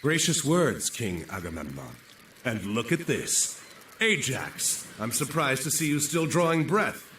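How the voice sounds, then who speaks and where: Bright, commanding heroic admiral. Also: male voice, medium pitch, very animated, unhurried, reverberant, recorded from a distance, slight background noise. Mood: firm. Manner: commanding, declamatory. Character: proud, resolute Atlantean admiral, a seasoned heroic warrior father. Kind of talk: dramatic video game cutscene acting.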